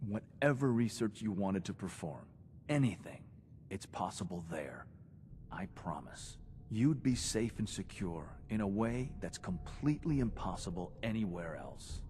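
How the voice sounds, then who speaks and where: Low-voiced, earnest male agent. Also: very animated, measured pace, a little mellow, a little hiss or hum. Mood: persuasive. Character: earnest male Institute agent, calm persuasive recruiter.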